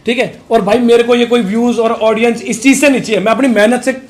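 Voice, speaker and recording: A man's voice: extremely high pitch, steady tone, quick pace, reverberant. A man giving an unscripted, defensive and accusatory rebuttal, often repeating himself. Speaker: vlogger.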